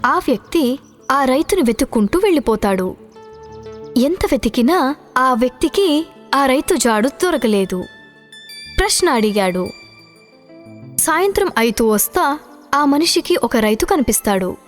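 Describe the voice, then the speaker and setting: A woman's voice: high voice, sing-song, a little slow, pauses often. A woman narrating a scripted children's story, with music behind her. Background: background music.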